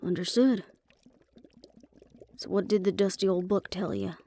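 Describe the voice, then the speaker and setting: A woman's voice: medium pitch, fairly even intonation, unhurried, warm tone, lightly acted. A proud, impatient vampire woman, acted by a female performer in a fantasy audio drama. Her mid-range voice is sarcastic and often incredulous. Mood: dry. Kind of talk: fantasy audio roleplay acting.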